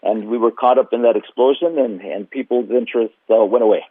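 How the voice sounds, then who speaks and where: A man, a museum's marketing director, answering radio interview questions in a halting way with many fillers and restarts. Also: mid-range voice, steady tone, slightly rough, no room sound. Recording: tinny phone line.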